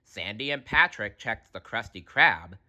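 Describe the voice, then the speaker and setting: A male storyteller with a low, bright voice reads a children's tale aloud. He is expressive and takes his time, dropping in wry asides. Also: a little slow, lo-fi sound.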